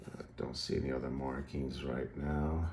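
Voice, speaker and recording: A man giving a casual, unscripted show-and-tell as a hobbyist, hesitant and halting as he thinks aloud. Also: very deep voice, steady tone, a little mellow, a little hiss or hum. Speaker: radio hobbyist presenting a project.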